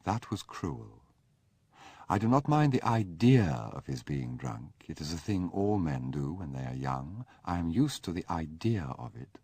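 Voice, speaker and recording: A stately older mother of the gentry, as a deep-voiced male reader puts her on, quiet and formal. The speech is measured and restrained. The recording is dry and close. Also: big pitch swings, husky, dry recording, exaggerated voice. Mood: sorrowful. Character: stately older aristocratic mother, reserved and devout.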